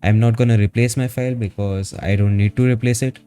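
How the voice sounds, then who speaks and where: Low, mellow man giving a tutorial. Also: fairly even intonation, fairly quick, smooth. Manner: casual, instructional. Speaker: streamer giving a software tutorial. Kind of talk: step-by-step screen tutorial narration. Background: background music.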